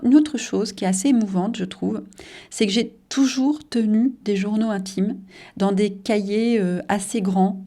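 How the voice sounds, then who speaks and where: A woman's voice: mid-range voice, a little mellow. Thoughtful French woman novelist, interviewed. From Paris suburbs, France. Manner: thoughtful, hesitant with many fillers. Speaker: novelist and journalist.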